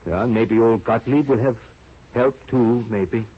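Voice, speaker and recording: An actor playing an aging, earnest scientist mentor with a halting, solemn delivery in a radio drama. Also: male voice, mid-range voice, close to an everyday voice. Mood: solemn, fatherly. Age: older. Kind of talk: 1930s radio drama acting. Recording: muffled, noisy old radio recording.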